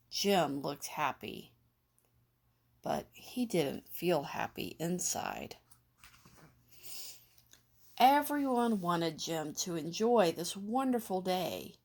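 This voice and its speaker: A woman reads a picture book aloud for children. Her voice sits a little low and she takes her time with a very slow, measured pace. The delivery is that of an expressive storyteller. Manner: measured storytelling with acted dialogue.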